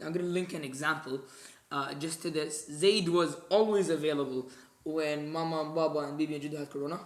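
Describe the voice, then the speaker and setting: A man's voice: a little high, fairly even intonation, fairly quick. A very young man chatting casually as a guest on a podcast, in a roomy, echoing recording. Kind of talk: casual chat.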